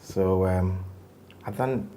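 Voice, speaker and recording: Low-voiced man, relaxed and reflective. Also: slow, a little hiss or hum. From Ghana. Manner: relaxed, reflective, with frequent fillers like "um" and "like". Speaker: guest, tech company co-founder. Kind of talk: casual interview conversation.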